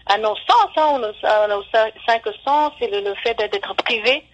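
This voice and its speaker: A woman's voice: on the high side, normal speed, lo-fi sound. A woman professor explaining her views as a radio guest, hesitant, with many restarts and fillers.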